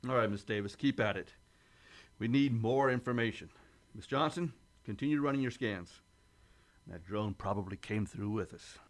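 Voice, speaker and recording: A man playing a gruff, stoic starship captain in a science fiction radio play, giving clipped, authoritative orders. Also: on the low side, moderate pace, lightly acted. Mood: commanding.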